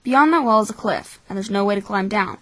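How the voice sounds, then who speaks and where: A woman voicing a cynical, calculating twelve-year-old orphan boy in a measured, blunt anime-style fan dub. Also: medium pitch, fairly bright tone, dry recording, natural voice. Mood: cool, calculating.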